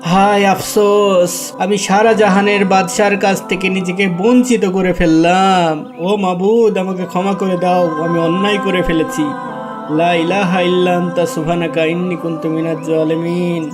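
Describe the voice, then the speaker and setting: A man's voice: high-pitched, flat delivery, moderate pace, music in the background, natural voice. A man playing a poor, devout, remorseful husband who pleads earnestly in a dubbed religious cartoon. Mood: anguished, repentant. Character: poor pious husband Ali, humble and remorseful.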